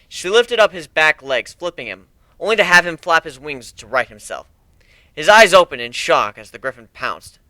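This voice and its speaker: A man gives a dramatic first-person story reading. His voice is slightly high and bright, with lively swings in pitch, recorded very dry. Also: normal speed, dry recording, rough recording. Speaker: amateur story reader.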